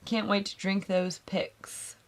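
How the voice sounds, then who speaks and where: Slightly hoarse woman chatting casually. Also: medium pitch, flat delivery, slow. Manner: chatty, reads viewer messages aloud. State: slightly hoarse, losing her voice. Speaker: co-host. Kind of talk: casual live chat.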